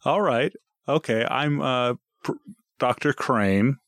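A man's voice: medium pitch, slow pace, a bit bright, dry recording, studio quality. A man co-hosting a podcast in casual banter, joking at his own expense and swearing now and then. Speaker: podcast co-host.

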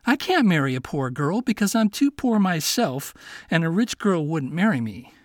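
A poor, lonely young man speaks plaintively and bashfully, as a man voices him in a storybook reading. The voice is a little high and lively in pitch. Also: normal speed, no echo, studio quality.

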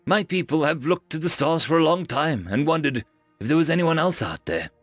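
Brief: man reading as an eager human ship captain, chatty and a bit flustered, lively pitch, dry close sound. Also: brisk, dry recording, soft background music. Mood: earnest, friendly. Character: friendly male human starship captain.

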